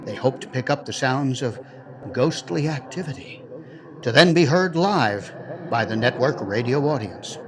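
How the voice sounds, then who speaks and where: A man's voice: medium pitch, lively intonation, a little slow, noisy background. A man hosting an audio drama, reading a scripted introduction in a conversational way with playful asides. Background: music.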